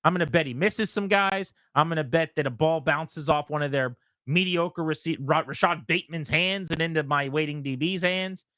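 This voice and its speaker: A man hosting a sports talk radio show who argues his points in an animated, argumentative way. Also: fairly high pitch, normal speed, few pauses, no room sound, studio quality. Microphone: studio mic.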